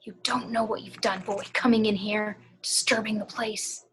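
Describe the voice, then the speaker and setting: A woman's voice: medium pitch, fairly even intonation, normal speed, a bit bright, slight background noise, lightly acted. A woman playing a hostile, threatening armed guardian of a haunted theater in an amateur horror table read. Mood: hostile, suspicious. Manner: menacing, folksy, clipped orders.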